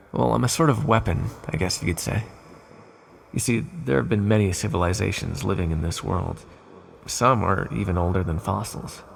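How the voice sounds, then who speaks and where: Man voicing a gravelly ancient villain, measured and intimate. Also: lowish voice, a little hiss or hum. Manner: measured, formal, hesitant confiding. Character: ancient accursed living weapon, calm, menacing yet tender male villain. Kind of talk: ASMR roleplay monologue.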